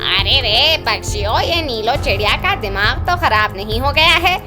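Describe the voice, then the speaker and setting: A woman's voice: on the high side, lively intonation, normal speed, over music, lightly acted. A woman voicing an exclamatory male bird who addresses his flock in a children's cartoon, declaiming as he goes. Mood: alarmed, puzzled. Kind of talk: Hindi children's cartoon dubbing.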